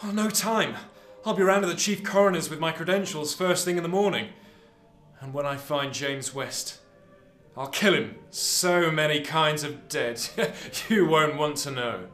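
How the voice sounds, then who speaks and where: A man's voice: fairly high pitch, a little slow, a bit bright, roomy sound, faint music behind. A man playing a vengeful, grieving secret agent in a radio drama, emphatic and given to swearing. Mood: shocked, vengeful.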